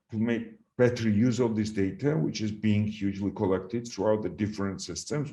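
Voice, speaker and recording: The lowish-voiced Georgian-accented man at a policy conference, middle-aged, feeling his way through his presentation and leaning on the key words. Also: a little mellow. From Georgia (the country). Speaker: conference presenter on health governance. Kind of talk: formal presentation, speaking extempore.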